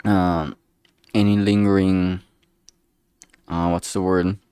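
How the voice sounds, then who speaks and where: A man's voice: low-pitched, little pitch movement, slow pace, mellow, smooth, no echo. A man thinking aloud casually as he works, speaking hesitantly and often trailing off mid-thought. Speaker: streamer making a visual novel.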